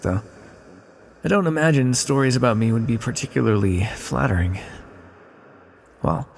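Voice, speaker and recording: A man playing an ancient exiled villain, a weary, teasing magical being, musing slowly and wryly in an ASMR roleplay. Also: mid-range voice, creaky, husky, exaggerated voice. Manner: slow, musing, wry, theatrical. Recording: long cavernous reverb.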